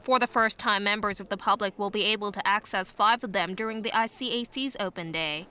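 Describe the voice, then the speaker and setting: A woman's voice: medium pitch, brisk, pushed and bright, slight background noise. A woman narrating a scripted news report as a reporter.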